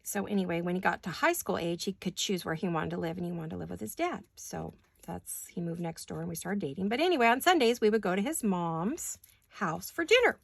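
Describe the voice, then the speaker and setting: A woman's voice: lowish voice, expressive, brisk, creaky, no room sound. A middle-aged woman rambling chattily while she works on paper crafts in her home studio, joking at her own expense. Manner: rambling, self-deprecating, talks while working. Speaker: craft vlogger.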